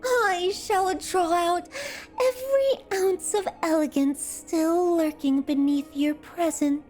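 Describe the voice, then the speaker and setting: A slow, high-pitched, obsessive yandere sculptor. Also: female voice, a little mellow, full character voice. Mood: proud, coaxing. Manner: florid, pompous, drawn-out sweet menace. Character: obsessive yandere woman sculptor, grandiose, doting, menacing captor. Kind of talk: ASMR roleplay, theatrical monologue.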